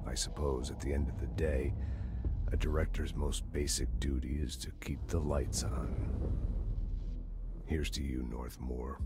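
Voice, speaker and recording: An actor playing a grave, brooding male bureau director in a video game, delivering a terse, deliberate monologue in clipped phrases. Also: rumbling low.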